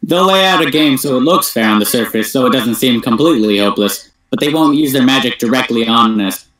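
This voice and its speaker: A man's voice: medium pitch, lively intonation, fairly quick, a bit bright, natural voice. A man performs a cocky big-brother gamer for an amateur anime dub. His voice sits mid-range and he talks in a flippant, smug way. The recording is roomy and echoing. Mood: explaining, confident. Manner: smug, flippant, stumbles while reading a script. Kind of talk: amateur anime fandub script reading. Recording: roomy echoing home voice-chat stream.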